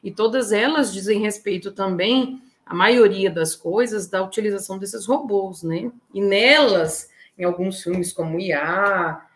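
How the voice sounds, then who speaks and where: A woman's voice: medium pitch, a little slow, few pauses. A middle-aged Brazilian woman giving an informal online lecture, explaining step by step with frequent check-in tags. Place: indoor room, online talk. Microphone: video call.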